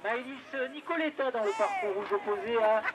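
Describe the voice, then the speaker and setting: A man announcing a ski race live over a loudspeaker, naming racers, lanes and time gaps, with fillers. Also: very high-pitched, normal speed, crowd noise. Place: outdoor ski slope. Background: spectators on the slope.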